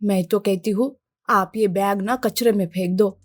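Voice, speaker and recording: A woman's voice: mid-range voice, fairly even intonation, a little slow, close to an everyday voice. A woman playing a worried, suspicious and nagging wife in a story. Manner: nagging, anxious. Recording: music behind the voice.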